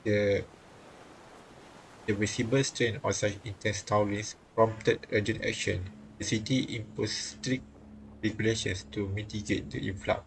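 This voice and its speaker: Low-voiced man reading aloud, stumbling over words. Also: fairly even intonation, unhurried, slight background noise, rough recording. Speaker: streamer reading a visual novel. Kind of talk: reading game text aloud on a livestream.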